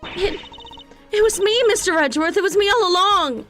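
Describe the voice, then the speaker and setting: A panicked young confessor whose voice climbs high and cracks with tears. The words spill out strained and desperate, as if the speaker is barely holding together. Faint game music sits underneath. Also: female voice, moderate pace, fairly bright tone. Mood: distraught. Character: shaken young person confessing to murder, sobbing.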